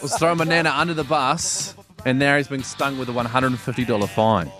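A New man co-hosting breakfast radio with a mid-range voice. He tells a family story with animated build-up and punchlines. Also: mid-range voice, a little fast, faint music behind. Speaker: radio co-host. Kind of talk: casual radio banter.

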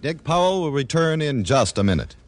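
A man's voice: fairly high pitch, sing-song, fairly quick, faint music behind. A man announcing in a scripted 1940s radio commercial style.

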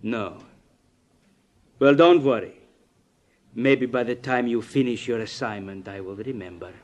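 Menacing communist agent played by a male actor, commanding and condescending, measured delivery, old-time radio recording. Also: medium pitch, a little mellow, lo-fi sound, close to an everyday voice. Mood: quietly menacing. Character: stern, menacing male Soviet agent and party boss. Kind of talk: 1950s radio drama acting. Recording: vintage 1950s radio recording.

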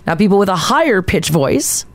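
A young adult woman with a lower-pitched voice, co-hosting a casual morning radio show and reading study findings in a conversational way. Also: sing-song, moderate pace.

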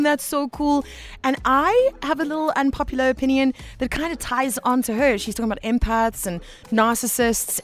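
A woman hosting a radio call-in show, chatty and affectionate with callers. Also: on the high side. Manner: warm, chatty, calls listeners baby. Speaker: radio host.

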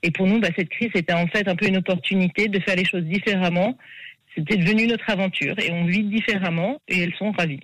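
A woman's voice: medium pitch, steady tone, quick pace, fairly bright tone, no room sound, rough recording. A talkative woman in her forties from France answers interview questions with eager enthusiasm. Her voice is close and dry, with no room sound around it. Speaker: guest. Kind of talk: interview conversation.

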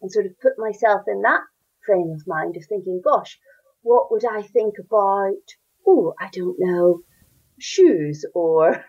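A woman's voice: mid-range voice, lively intonation, a little slow, breathy edge, lo-fi sound. A woman with a Northern Irish accent, an author answering interview questions with enthusiasm and a storytelling lilt. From Northern Ireland. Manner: enthusiastic, warm storytelling with laughs. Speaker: children's author.

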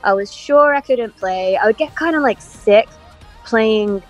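A woman's voice: a little high, measured pace, dull audio, soft background music. Chatty woman with a blended English-American accent. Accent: mixed English and American. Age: 30s. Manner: chatty, animated storytelling with frequent laughs. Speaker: guest musician. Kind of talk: casual interview chat. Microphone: video call.

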